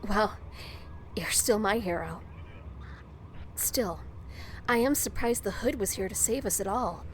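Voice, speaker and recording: A woman's voice: mid-range voice, measured pace, a little hiss or hum, lightly acted. A young woman playing a feisty, emotional younger sister in an audio drama. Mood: relieved.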